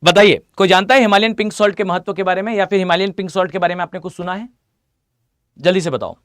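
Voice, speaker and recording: A hoarse man with an Indian accent teaching a live online class, often asking students to reply. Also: sits high, fast talker, a bit bright, dry recording, clean studio sound. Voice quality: mixes Hindi with English phrases. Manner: addresses students directly, asks them to reply.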